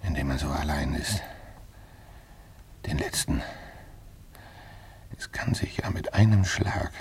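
An actor playing a desperate, obsessive gambler in a German radio drama, muttering in repetitive, brooding monologue. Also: male voice, very deep voice, slow pace, vocal fry, full character voice. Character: desperate, obsessive young male gambler alone abroad. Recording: noisy vintage radio drama recording.

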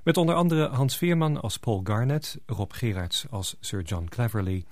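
A man's voice: medium pitch, moderate pace, darkish tone, dry recording, studio quality. A man working as a radio announcer, reading scripted broadcast credits.

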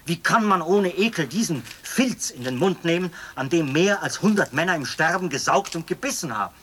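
A man's voice: on the high side, expressive, normal speed, fairly bright tone. An actor playing a zealous, aggrieved execution officer in a German radio drama, fussy and intense in his long-winded complaints. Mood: agitated, indignant. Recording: noisy 1980s radio drama recording.

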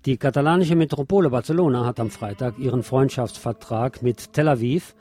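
A man's voice: mid-range voice, a little fast, mellow. A man presenting scripted news and commentary on the radio, reading as a presenter.

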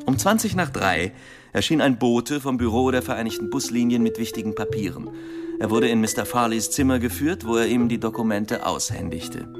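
Lowish-voiced police inspector, music behind. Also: male voice. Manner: matter-of-fact, official reporting. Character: brisk, factual male police inspector reporting a death. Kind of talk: German radio play acting.

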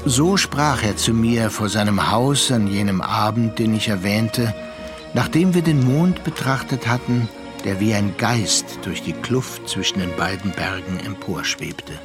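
An actor playing a composed, reflective storyteller, narrating a radio play in measured literary phrases. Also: male voice, lowish voice, lightly acted. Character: composed, reflective male storyteller recalling a friend. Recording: music under the voice.

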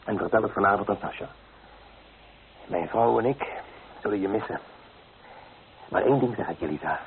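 A kindly, fatherly newspaperman with a fairly low voice. He consoles and reassures, with small thoughtful hums. The old recording sounds narrow and tinny. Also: lively intonation, normal speed, slight background noise. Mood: warmly decisive. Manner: reassuring, paternal, murmured hms. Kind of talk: Dutch radio play acting. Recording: thin, phone-like old radio recording.

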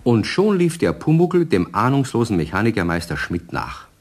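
A man narrating a children's radio play as an expressive, colloquial storyteller. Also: fairly low pitch, normal speed.

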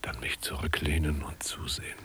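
An actor playing a brooding, bitter husband in a radio play, musing to himself in a hushed voice. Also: male voice, normal speed, in a whisper, close to an everyday voice. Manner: musing inner monologue, hushed.

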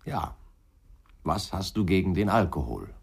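An actor playing a gruff, cynical ex-policeman in a radio crime drama, with a sardonic, dismissive manner. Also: low-pitched, sing-song, a little slow, full character voice. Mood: dry, challenging. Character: gruff, cynical male ex-policeman with a drinking past. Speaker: ex-police detective in a crime series.

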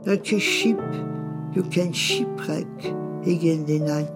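Woman reading poetry for radio, lowish voice, really slow, music behind. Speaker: author reading own poetry. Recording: radio production with music underneath.

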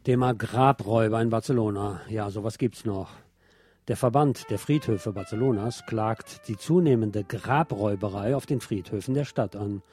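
A man's voice: fairly low pitch, moderate pace, darkish tone, faint music behind. A man presenting scripted radio news with wry asides.

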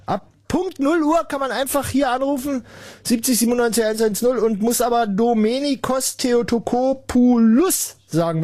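A man hosting a late-night radio call-in show, sarcastic and rambling, with sudden bursts of mock shouting. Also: high-pitched, no room sound, clean studio sound. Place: radio studio. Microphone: studio microphone.

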